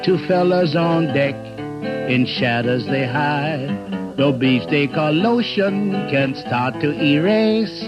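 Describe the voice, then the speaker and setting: An actor playing an easygoing island calypso singer and philosophical sidekick in a 1950s radio drama, singsong and unhurried. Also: male voice, a little high, lively intonation. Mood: lilting. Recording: dull vintage radio recording with guitar music.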